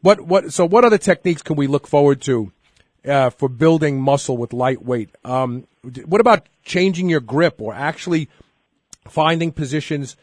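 A man's voice: fairly high pitch, expressive, normal speed, no room sound, clean studio sound. A man in his fifties hosting a radio show, chatting and joking with his guest and often restarting sentences. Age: 50s. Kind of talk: casual interview chat.

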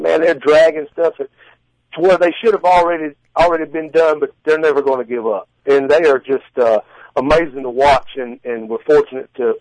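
A man in his forties with a slightly high voice talks as a guest in a casual interview. His phrasing is folksy and halting, and the sound is dry and close. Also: darkish tone, no echo, low-quality recording. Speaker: guest, strongman competitor and contest judge. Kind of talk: casual interview answers and storytelling.

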